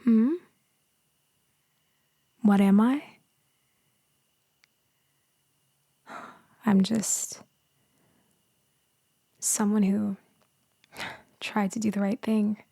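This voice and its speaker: Casting: woman as tender vampire rescuer for ASMR roleplay, soft whispery delivery, unhurried, very even pitch. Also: no room sound, full character voice. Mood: tender, reassuring. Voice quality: soft and breathy, often dropping to a whisper. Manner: tender, slow, reassuring, hesitant pauses. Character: gentle female vampire soothing someone she saved. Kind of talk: intimate ASMR roleplay acting.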